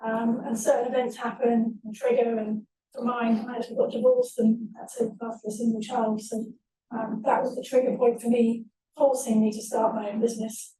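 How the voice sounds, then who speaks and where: Mellow-voiced woman in an echoey hall. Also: on the high side, a little fast. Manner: businesslike, many ums and restarts. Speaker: tech founder on a panel. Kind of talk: panel talk. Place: event hall. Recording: echoey room, distant mic.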